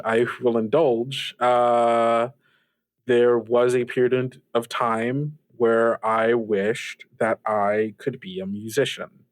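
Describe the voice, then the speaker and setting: A man's voice: medium pitch, no room sound, lightly acted. Hesitant, cautious, dutiful man acted, dry sound. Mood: reluctant, resigned. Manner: hesitant, measured, hedging. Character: polite, cautious host, resigned to duty, wary of temptation. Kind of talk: improvised actual-play roleplay dialogue.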